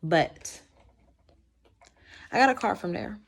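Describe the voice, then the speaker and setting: An American woman telling a story in a casual, slangy, conversational way with animated asides, as a vlogger talking to camera. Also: medium pitch, takes it slowly, vocal fry.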